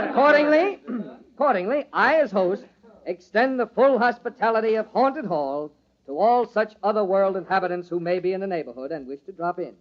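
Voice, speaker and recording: An actor playing a jovial party host with a secret lover, in theatrical old-time radio style. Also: male voice, sits high, noisy background. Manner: theatrical mock-pompous speechmaking, hushed urgency in private. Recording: dull old radio recording, organ music behind.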